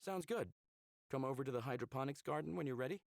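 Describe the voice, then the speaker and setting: A man's voice: mid-range voice, fast talker, creaky, no room sound, clean studio sound, natural voice. A man playing a friendly, curious human lover in a visual novel, speaking casually and inquisitively. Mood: warm, supportive. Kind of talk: visual novel character acting.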